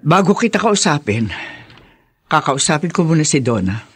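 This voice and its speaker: A woman's voice: sits low, big pitch swings, normal speed, breathy edge, heavily acted. A Filipino woman playing a stern, wounded older mother-in-law in a radio drama, emotional and reproachful. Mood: reproachful, hurt. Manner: reproachful, emotional, sharp-tongued.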